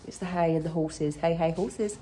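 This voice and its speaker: A woman in her thirties from England with a blocked nose, chatting excitably in a vlog. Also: fairly low pitch. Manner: excitable, lively, quick to squeal. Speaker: vlogger. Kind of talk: casual vlog chat. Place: empty wooden stable building, indoors. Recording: echoey stable with music underneath.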